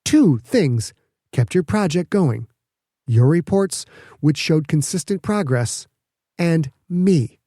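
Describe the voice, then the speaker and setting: Smug female politician, read by a man, slightly raised pitch, measured condescension, mellow, audiobook. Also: lively intonation, no echo, clean studio sound. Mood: scolding. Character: smug, calculating woman governor, a seasoned politician.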